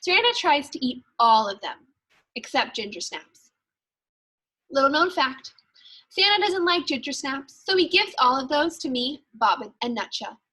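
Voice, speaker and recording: A woman's voice: high voice, very animated, a little slow, sharp tone, heavily acted, low-quality recording. A woman reading a children's storybook as an eager, fretful little Christmas elf, chipper and confiding. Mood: worried, hurried. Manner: chipper, punctual, confiding asides. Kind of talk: children's storybook read-aloud.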